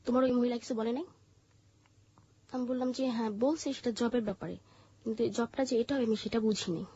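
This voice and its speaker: A Bangladeshi woman telling her personal story in an interview, haltingly, often repeating and rephrasing her words. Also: a little high, steady tone, dark tone, breathy edge, no echo, highs cut off.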